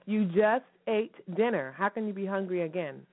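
A woman hosting a radio show in a lively, chatty way, her voice slightly hoarse and raspy from a recent cough. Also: medium pitch, moderate pace. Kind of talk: casual radio chat. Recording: phone-line call-in radio.

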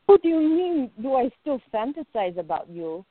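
Woman acting playful young woman in love, radio play, high voice, takes her time, very dry close sound. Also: very animated, moderate pace, no echo, narrow, tinny audio. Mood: teasing, ardent.

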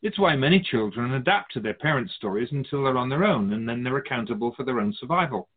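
A man's voice: medium pitch, animated, fast, narrow, tinny audio, close to an everyday voice. A man playing a wise, wry otherworldly spirit guide in a radio drama, teaching in formal, didactic phrases. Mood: earnest, instructive. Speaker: spirit teacher answering questions. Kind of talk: scripted radio drama dialogue, expository.

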